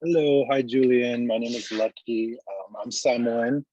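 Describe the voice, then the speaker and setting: A man's voice: medium pitch, fairly even intonation, a little slow, warm tone, husky, no echo, highs cut off. Man from alaska, mid voice, dry sound, polite and brief. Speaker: book club member. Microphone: video call.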